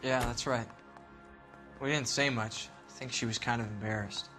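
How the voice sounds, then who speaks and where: A brash young sailor in a 1940s crime game, acted by a man. He has a mid-range voice and answers questions defensively, in blunt slang. Music is clearly heard under his words. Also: mid-range voice, steady tone, normal speed, natural voice. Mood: guarded. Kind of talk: video game cutscene acting.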